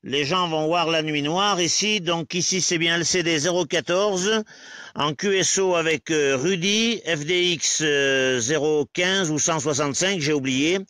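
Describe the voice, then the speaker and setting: A man with a bright, forward voice talks as an amateur radio operator. He is enthusiastic and repeats himself as he addresses both his contact and online viewers. The sound is dry, with little echo. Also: on the high side, a little slow, clean tone. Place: outdoors in woods at night.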